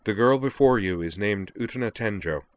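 A man's voice: lowish voice, moderate pace, dry recording, natural voice. Male narrator as little illusory mage, urgent, commanding, formal declamation, fantasy story, close dry sound. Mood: solemn. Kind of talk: fantasy audiobook character voice.

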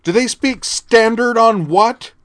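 A man voicing a loud, scornful teenage girl tourist who snaps sarcastically at officers. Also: sing-song, normal speed. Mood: scornful, bratty. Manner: snappish, sarcastic, shrill.

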